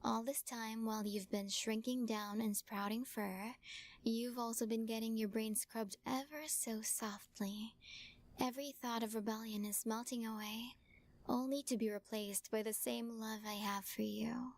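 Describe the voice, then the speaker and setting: A woman voicing a sweetly possessive witch in an audio roleplay, talking softly and teasingly and often dropping into whispers. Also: on the high side, fairly even intonation, normal speed, creaky. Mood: sweetly menacing. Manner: soft, teasing, coaxing, slips into whispers.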